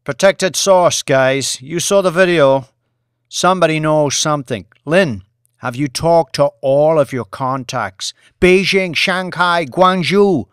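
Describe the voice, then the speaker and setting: A man's voice: a little high, slow pace, clean tone, dry recording, clean studio sound, pitched above the performer's own voice. A man voicing a commanding male news boss in an audiobook, rallying his team with emphatic, clipped orders. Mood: urgent, pressing.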